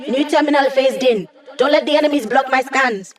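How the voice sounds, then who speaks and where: Video game mission-support hacker, female, young: wry, conversational, quick with quips; voiced by a woman. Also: high voice, natural voice. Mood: focused, urgent.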